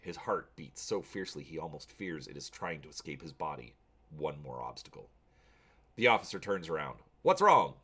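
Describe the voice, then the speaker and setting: A man's voice: rumbling low, animated, normal speed, bright, forceful tone, breathy edge. A man hosting a channel, talking casually to viewers and reading an adventure story aloud with drama.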